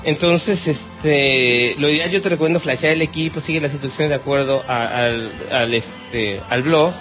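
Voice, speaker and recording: Mexican guy doing a podcast, kinda high voice, playful n dramatic, bit muffled w music under. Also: moderate pace. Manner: theatrical, mock-exasperated, playful. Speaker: podcast host. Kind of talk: casual listener Q&A chat. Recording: dull lo-fi radio sound, music behind.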